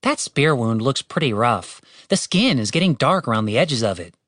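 A woman's voice: a bass voice, big pitch swings, moderate pace, a bit bright, dry recording, studio quality, heavily acted. A woman playing a defensive, exasperated young man in a fantasy audiobook, talking casually. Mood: worried. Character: testy, defensive young man, reluctant adventurer.

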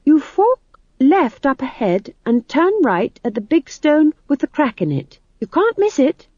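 Woman voicing a brash, high-pitched old witch. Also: sing-song, a little slow, warm tone, dry recording, muffled recording. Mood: feigned humble. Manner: folksy, blunt, chatty. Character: brash, bossy, cheerful old witch posing as humble wood-gatherer.

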